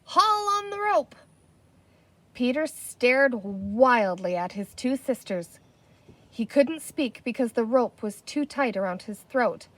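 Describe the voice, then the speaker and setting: A woman with a fairly high voice reads a children's story aloud. She narrates expressively, as a storyteller does, out in the open air. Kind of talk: expressive read-aloud narration with character dialogue. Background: birdsong, wind chimes, a barking dog.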